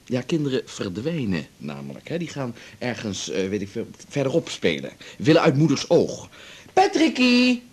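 An actor playing a teasing, easygoing father-to-be in a radio drama, with a husky voice. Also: mid-range voice, huge pitch swings, moderate pace. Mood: playful, mocking. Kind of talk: naturalistic radio drama dialogue.

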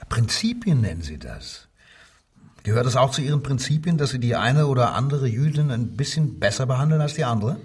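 An actor playing a cold, domineering Nazi police commissioner who questions sternly and curtly in a crime radio drama. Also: male voice, mid-range voice, very animated, a little fast, a little mellow, breathy edge, distant mic. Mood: probing, mocking. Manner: stern interrogator, curt probing questions.

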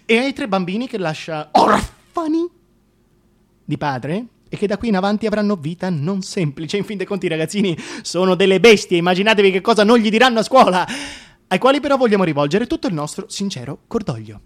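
An actor playing a pompous, glib radio announcer in a mock-solemn, declamatory broadcast style. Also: male voice, high voice, expressive, a little fast. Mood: mock solemnity.

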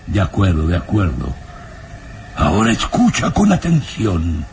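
A menacing castle baron from an old radio serial. His low voice swoops widely as he gives imperious orders with gloating relish. The recording has a steady layer of old noise. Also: vocal fry, full character voice. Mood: sly, instructing. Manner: imperious, slow gloating delivery with drawn-out words. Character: sinister scheming baron, menacing, gloating father and castle lord.

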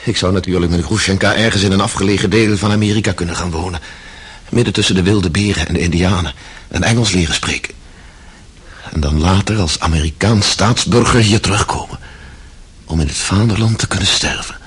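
An actor playing a tormented, self-loathing Russian prisoner in a radio drama, slow and confessional in manner. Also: male voice, low voice, a bit bright, exaggerated voice. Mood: bitter wistful. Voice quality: husky.

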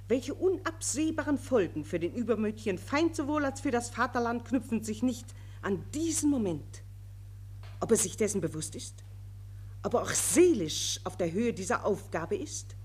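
An actress playing a pushy, gushing war correspondent in a 1940s German radio play, theatrical and insistent. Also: female voice, slightly rough. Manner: theatrical, high-flown, insistent.

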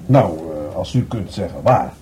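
An actor playing an awkward, skeptical police detective in a radio play, dry and halting as he questions. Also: low voice, expressive, dark tone.

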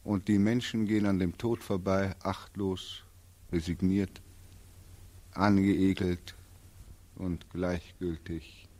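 Casting: exhausted, bitter war returnee, lowish voice, slow dramatic monologue, vintage radio drama. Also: male voice, little pitch movement, a little mellow. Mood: bitter despair. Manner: anguished, incantatory, dramatic. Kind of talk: 1940s German radio play acting, declaimed monologue.

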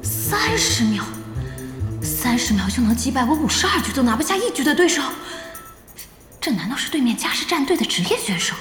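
High-voiced, feisty young gamer. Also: female voice, big pitch swings, fairly quick, a bit bright, roomy sound, in a large hall. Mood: astonished. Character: feisty young woman, excitable internet cafe gamer. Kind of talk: Mandarin audio drama acting. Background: background music.

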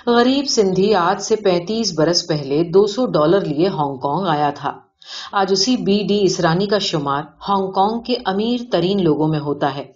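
A woman's voice: on the low side, a little mellow. A woman reading a literary short story aloud as scripted narration. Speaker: audiobook reader. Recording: dull lo-fi audio.

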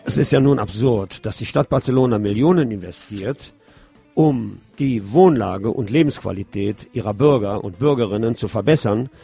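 A middle-aged man hosting a radio show, reading news commentary in a measured, opinionated way. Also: on the low side, gravelly, dark tone, breathy edge, faint music behind. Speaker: radio host.

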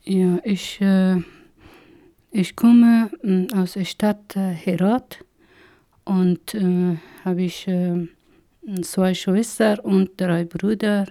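Slow, halting Afghan woman with gravelly voice. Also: medium pitch, steady tone, mellow. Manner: halting, many hesitations, slow and searching for words. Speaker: interviewee. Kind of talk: personal testimony.

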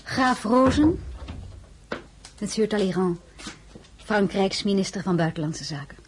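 An actress playing a dignified, wistful and wary crown princess in a radio drama, speaking formally and measured, often dropping to a breathy murmur. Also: female voice, medium pitch, lively intonation, a little hiss or hum, natural voice. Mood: formally polite. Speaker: lead actress and first-person narrator. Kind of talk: Dutch radio drama acting.

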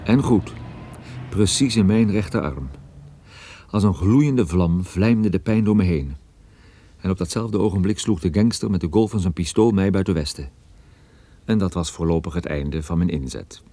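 An actor playing a hard-boiled private detective who narrates a radio play in a dry, wry, laconic way. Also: male voice, deep voice, moderate pace, warm tone. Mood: wry, tense. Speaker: narrator and protagonist.

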